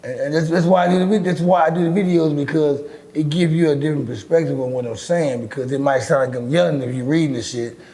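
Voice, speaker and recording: A middle-aged man with a deep, raspy voice, talking bluntly and with lots of swearing as an interview guest. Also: fast talker, poor audio. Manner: blunt, profane, animated storytelling. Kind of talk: casual interview talk.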